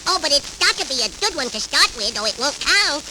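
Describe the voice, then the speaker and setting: A man's voice: very high-pitched, very animated, fairly quick, sharp tone, a little hiss or hum, full character voice, poor audio. A man voicing a tiny, innocent baby cartoon canary, sweet and persuasive with babyish speech, on a vintage children's record. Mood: coaxing. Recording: vintage 78rpm record with music.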